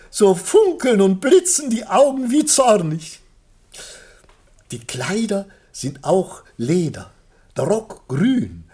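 A proud, middle-aged father and artist in a radio drama, besotted with his own work. His delivery is slow and grandiloquent, with a husky grain and effusive, theatrical phrasing. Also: sits high, darkish tone, exaggerated voice. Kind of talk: theatrical German radio play acting.

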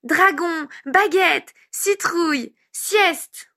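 A woman's voice: high-pitched, moderate pace, sharp tone, voice pushed up. A woman voicing an eager, polite little boy who is curious and easily delighted, in a read-aloud story.

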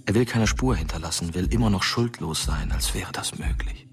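An actor playing a brooding young male narrator in a radio drama, speaking in a measured, intimate way. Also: deep voice, distant mic, faint music behind. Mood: brooding, quiet.

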